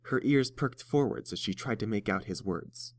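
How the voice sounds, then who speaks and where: A man's voice: lowish voice, normal speed, darkish tone, dry recording, clean studio sound. A man reading scripted fiction aloud as the narrator of an audiobook.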